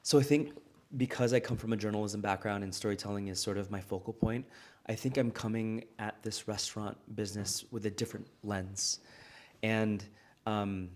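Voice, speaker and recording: American guy being interviewed, low voice, earnest and reflective, storytelling. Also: fairly even intonation, normal speed, darkish tone. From United States. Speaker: guest, restaurant founder. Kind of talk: conversational interview.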